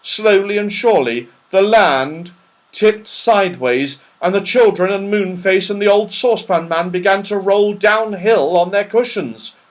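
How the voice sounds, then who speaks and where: A man narrating a children's storybook in an expressive, dramatic, animated way. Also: sits high, a little slow, poor audio. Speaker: audiobook narrator.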